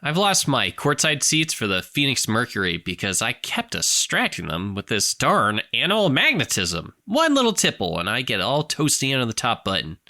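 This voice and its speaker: Casting: goofy, courtly male flirt, radio producer, bright and bouncy delivery, comedy. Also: medium pitch, huge pitch swings, normal speed, few pauses, dry recording, clean studio sound, full character voice. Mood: jolly, chummy. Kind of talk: scripted audio comedy acting.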